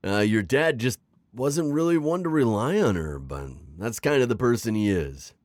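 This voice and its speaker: A man's voice: mid-range voice, sing-song, moderate pace, no room sound, natural voice. A man playing a sly, teasing, middle-aged retired magical villain in an ASMR roleplay, theatrical and coaxing in his delivery. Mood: wistful, sly. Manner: theatrical, teasing, manipulative coaxing with sighs and chuckles. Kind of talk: scripted ASMR roleplay acting.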